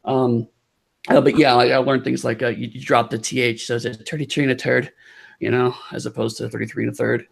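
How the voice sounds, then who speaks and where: A man with a gravelly edge to his voice chats as a podcast guest. He rambles and halts, circling back over his words with plenty of fillers, and his pitch sits in the middle range. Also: level tone. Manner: halting, rambling, many restarts and fillers. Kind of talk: casual chat.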